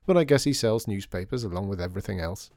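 A man acts out a sardonic storybook dad who grumbles and teases. His voice is relaxed and dark, with lively dips and rises. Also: fairly low pitch, quick pace, no room sound. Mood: wry teasing. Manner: dry, muttering, sardonic. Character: wry, grumbling, teasing father who makes toys.

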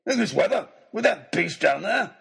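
Casting: pugnacious storybook baronet, very raspy, high for a man, pompous and bellowing. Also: big pitch swings, normal speed, a bit bright, exaggerated voice. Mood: defiant. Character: blustering, pugnacious aristocratic baronet, an adventurer. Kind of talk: storybook character voice.

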